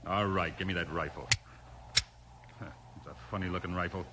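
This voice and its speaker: A man's voice: low-pitched, steady tone, normal speed, lightly acted. An actor playing a bitter, reluctant hired gunman in a 1950s radio western, tense and defiant. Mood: tense, resentful. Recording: noisy 1950s radio recording.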